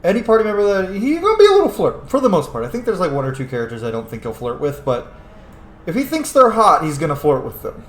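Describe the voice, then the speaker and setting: A man giving casual, playful let's-play commentary in an animated voice. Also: fairly high pitch, quick pace. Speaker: gaming streamer. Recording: roomy echo, faint noise.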